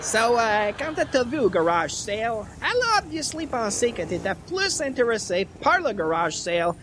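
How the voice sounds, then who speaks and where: Actor as a high-pitched, lively anglophone guy. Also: normal speed, noisy background. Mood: casual explaining. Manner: mixes English phrases into casual French. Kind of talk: comedy radio play acting.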